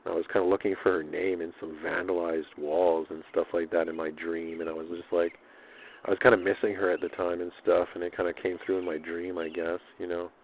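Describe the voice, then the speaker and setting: A man's voice: low-pitched, flat delivery, fairly quick, phone-quality sound, a little hiss or hum. A man keeping a casual audio diary, rambling in a loose, slangy way with swearing and repetition. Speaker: personal audio diarist.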